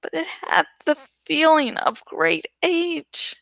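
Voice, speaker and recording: Female audiobook narrator, expressive and dramatic, high register, unhurried deliberate reading. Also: vocal fry, dry recording. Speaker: book narrator.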